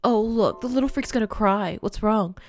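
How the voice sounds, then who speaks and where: A woman's voice: medium pitch, moderate pace, voice pushed up, natural voice. A woman doing a sneering bully boy. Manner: taunting, sneering.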